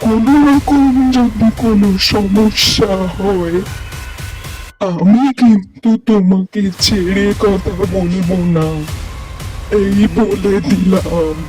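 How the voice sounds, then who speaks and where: A woman's voice: mid-range voice, takes it slowly, over music, natural voice. A woman voicing an older village man in a children's cartoon, folksy and emphatic. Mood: warning, stern. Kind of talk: children's cartoon story acting.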